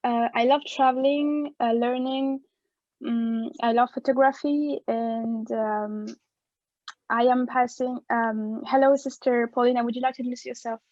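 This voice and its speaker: High-voiced 20-year-old woman, muffled dry audio. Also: unhurried, dry recording. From Réunion. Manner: bright, excited.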